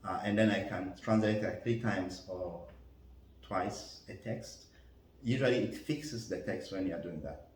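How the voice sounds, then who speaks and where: A deep-voiced man, a parish priest whose first language is French, answering in a careful, halting way with lots of fillers. He sits away from the mic in a roomy, echoing space with faint noise behind him. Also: far from the mic. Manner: thoughtful, hesitant, many fillers and restarts. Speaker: parish priest and school chaplain. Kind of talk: interview answers.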